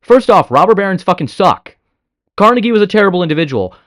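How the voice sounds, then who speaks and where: A man's voice: high-pitched, big pitch swings, fast talker. A man hosting a reaction video, commenting bluntly and with exasperation, swearing often.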